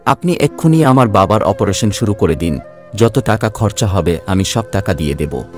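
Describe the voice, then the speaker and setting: A man's voice: on the low side, lively intonation, moderate pace, soft background music, close to an everyday voice. A man playing a self-centred young working son from a village in a cartoon story, speaking emotionally. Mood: remorseful, pleading.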